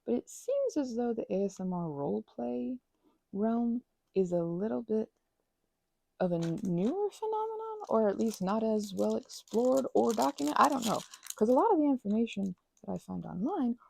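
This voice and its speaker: A woman's voice: fairly high pitch, big pitch swings, normal speed, vocal fry, mellow, a little hiss or hum. A woman chatting in a rambling, casual way while she prepares craft materials, full of fillers and restarts. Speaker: ASMR and audio creator.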